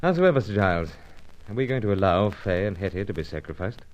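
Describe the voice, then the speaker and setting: An actor playing an earnest secret agent hero, dutiful, clipped and dramatic, in an old-time radio serial style. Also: male voice, sits low, very animated, quick pace, highs cut off. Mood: urgent.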